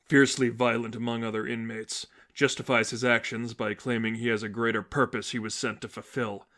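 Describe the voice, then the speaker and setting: A man voicing a stern, brooding masked vigilante mentor, speaking in a grave, terse, low-key way in a story reading. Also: flat delivery, a little fast, a bit bright, natural voice.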